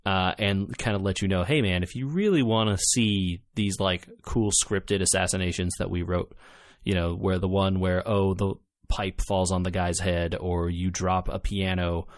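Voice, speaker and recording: A man's voice: low voice, steady tone, normal speed, few pauses, dry recording. A man co-hosting a podcast, explaining things in an informal, enthusiastic way in casual chat about video games. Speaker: podcast co-host reviewing games.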